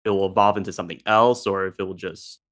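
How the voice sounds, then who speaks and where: A man's voice: low voice, steady tone, fast talker, a bit bright. A man hosting a casual discussion, feeling his way through questions and rephrasing them as he goes. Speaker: discussion host.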